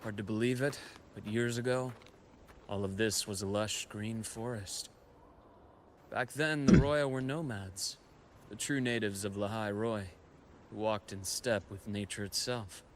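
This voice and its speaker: Measured, low-voiced actor as a male expedition guide. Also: steady tone, a little hiss or hum, close to an everyday voice. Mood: reflective. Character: composed, knowledgeable male expedition guide and academy scholar. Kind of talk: scripted video game story dialogue.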